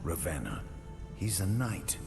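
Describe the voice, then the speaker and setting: An actor playing a proud, determined warrior knight in a fantasy game, terse and commanding. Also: male voice, low voice, sing-song, unhurried, gravelly, full character voice. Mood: grim resolve. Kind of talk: scripted fantasy game acting. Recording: long reverb, loud game music.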